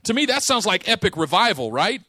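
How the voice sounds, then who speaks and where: Guy preaching, bright tense voice, slangy storytelling, dry close sound. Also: high voice, brisk, dry recording. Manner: animated storytelling, acting out dialogue; casual slang. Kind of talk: sermon.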